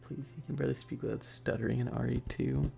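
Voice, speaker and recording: A man's voice: mid-range voice, flat delivery, quick pace, gravelly, warm tone, faint music behind. A man chatting casually as a livestream host, bantering with viewers in a slangy, joking way. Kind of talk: casual livestream chat, ASMR streamer.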